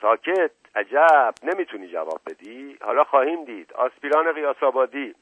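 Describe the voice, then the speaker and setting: A man's voice: medium pitch, expressive, husky, pitched above the performer's own voice. A man voicing a huge, bullying police inspector in a story, impatient and barking short interrogation commands. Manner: barks short commands, repeats urgent demands. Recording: roomy lo-fi radio-like narrow band.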